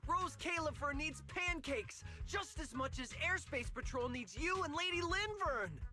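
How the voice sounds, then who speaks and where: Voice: high-pitched, lively intonation, unhurried, pushed and bright, faint music behind, full character voice. An actor playing an earnest, gullible subordinate patrol officer in a game, puzzled and exclamatory. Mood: eager realization.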